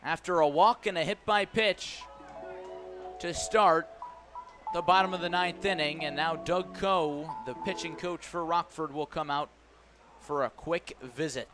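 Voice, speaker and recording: A man doing live baseball play-by-play on the radio, giving measured, detailed calls that swell on key plays. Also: fairly high pitch, fairly bright tone, soft background music. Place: ballpark broadcast position.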